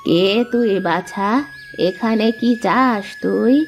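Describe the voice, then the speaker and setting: A woman's voice: mid-range voice, slow, gravelly, soft background music. A woman playing a kindly but firm old village woman in a dramatic fairy-tale cartoon. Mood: commanding. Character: kindly, firm old village mother and lonely hut-dwelling granny.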